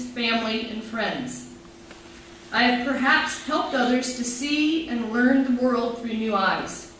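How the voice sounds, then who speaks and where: A middle-aged woman pastor delivers a sermon in a measured, reflective way, weaving her own story with scripture readings. Her voice sits a bit high. It reaches the mic from a distance through a very echoey room with faint background noise. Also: a bit bright.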